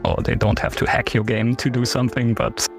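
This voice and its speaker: A man's voice: medium pitch, little pitch movement, fairly quick, vocal fry, faint music behind. Podcast host man in his thirties. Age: 30s. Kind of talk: interview host questions.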